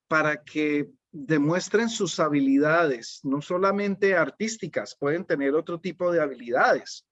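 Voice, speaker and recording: A middle-aged Colombian man gives a language-teaching webinar in a relaxed, unhurried way. His voice sits a little high for a man. The sound is dry and dull, like a basic video-call mic. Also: dry recording. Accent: Colombian Spanish. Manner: calm and unhurried, often checking in with the listener. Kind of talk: webinar presentation.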